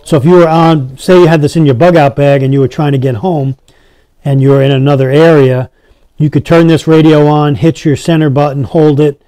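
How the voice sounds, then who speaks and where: A man's voice: on the high side, steady tone, a little fast, mellow, no echo. A man giving a casual hands-on product demonstration as a reviewer, talking to his viewers. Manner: addresses viewers as guys.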